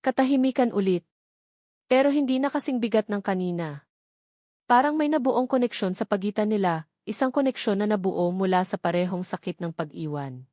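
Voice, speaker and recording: A woman narrates an audio romance in a scripted, dramatic storytelling style. Her pitch sits in the middle range for a woman. The recording is very dry, with no room sound. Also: lively intonation, a little fast, mellow, no room sound.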